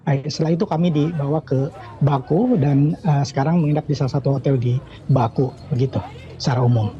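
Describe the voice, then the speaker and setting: A middle-aged man answering questions over a remote call, halting and pausing often with filler sounds. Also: on the high side, steady tone, soft background music. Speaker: evacuee interviewed by phone. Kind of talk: hesitant phone interview answers. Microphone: phone or video call. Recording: dull lo-fi remote line with crowd behind.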